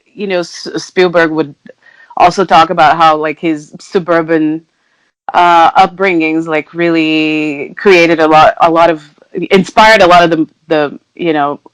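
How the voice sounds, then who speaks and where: A woman's voice: low voice, steady tone, measured pace, smooth, no echo, rough recording. A woman in her thirties from Brazil, chatting casually as a podcast guest, self-deprecating and full of fillers. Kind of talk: casual conversational interview.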